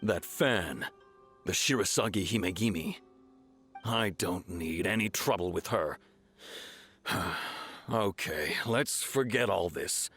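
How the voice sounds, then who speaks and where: A man's voice: deep voice, animated, slow, pauses often, fairly bright tone, husky, full character voice. A man voicing a stern, officious guard captain in a video game, curt and authoritative, with music behind him. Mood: grudging. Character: stern, officious male samurai captain, easily cowed.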